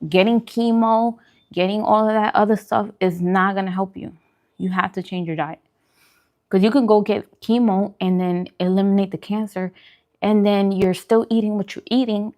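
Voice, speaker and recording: A woman with a mid-range voice talks casually to her viewers, as in a food vlog. She explains things in an informal, conversational way and stresses her points by repeating them. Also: medium pitch. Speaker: food and wellness vlogger. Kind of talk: casual mukbang chat giving diet advice.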